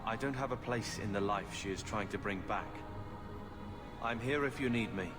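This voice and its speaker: A man's voice: lowish voice, moderate pace, music in the background, close to an everyday voice. An actor voicing a resentful, brooding young man, a bitter and sarcastic younger brother in a video game. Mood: subdued, conflicted.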